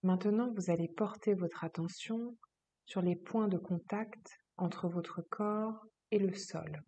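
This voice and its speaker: A woman yoga teacher leading a guided relaxation meditation, speaking slowly and calmly in a soft, husky voice with long pauses. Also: medium pitch. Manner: slow, calm, soothing instruction with long pauses.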